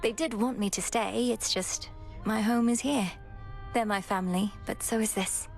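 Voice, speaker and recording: An actress playing an earnest, composed young underworld princess in a video game, poised and gently formal. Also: female voice, on the high side, fairly even intonation, normal speed, creaky. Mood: gently sincere. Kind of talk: video game character acting. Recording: music under the voice.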